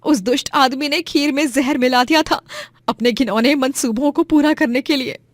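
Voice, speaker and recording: A woman's voice: high-pitched, normal speed, dry recording, voice pushed up, close to an everyday voice. A woman voicing a pious, brave and righteous village food-stall owner, fervent and emphatic, in a cartoon moral story. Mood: worried.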